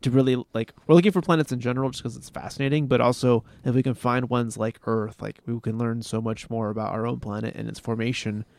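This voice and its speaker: A man's voice: mid-range voice, fairly even intonation, fast talker, gravelly, few pauses, a little mellow, no echo. A man chatting casually, explaining space topics enthusiastically with frequent restarts. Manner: rambling, restarts often, enthusiastic about space. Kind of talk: casual podcast chat.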